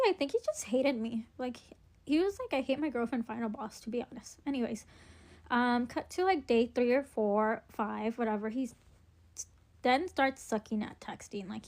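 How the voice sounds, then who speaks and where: A woman's voice: fairly high pitch, a little mellow. Chatty young woman telling a storytime. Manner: chatty, rambling, many ums and likes, wry. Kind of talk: casual storytime while doing makeup.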